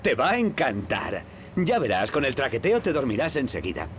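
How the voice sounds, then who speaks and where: A friendly, chatty cartoon railwayman with a Castilian Spanish accent. His pitch rises and falls dramatically over faint train station noise. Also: wildly swooping pitch, normal speed. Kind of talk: cartoon dub acting.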